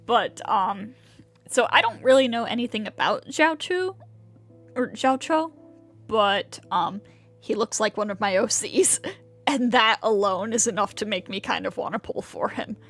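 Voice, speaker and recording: A woman's voice: medium pitch, measured pace, fairly bright tone. A young adult woman chatting casually as a game streamer, rambling and hesitant, with game music behind her. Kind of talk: casual livestream chat.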